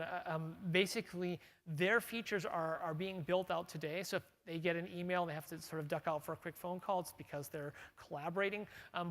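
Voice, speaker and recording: A man's voice: high voice, a bit bright, long reverb tail. A middle-aged man giving a casual conference keynote as a company tech chief, talking in a loose way with fillers and restarts. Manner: conversational, with frequent fillers and restarts. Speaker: company CTO presenting product strategy. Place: large conference hall.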